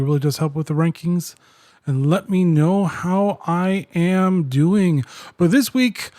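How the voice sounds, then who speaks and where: A man's voice: a little high, darkish tone, no echo, studio quality. A man hosting a podcast, introducing a guest and asking friendly, conversational questions. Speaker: podcast host.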